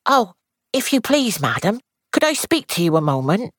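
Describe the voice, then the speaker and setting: A woman reader voices a young housemaid, fretful and deferential, who rambles in breathless gossip. Her voice swoops widely in pitch. The sound is close and dry. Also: big pitch swings, normal speed, no echo.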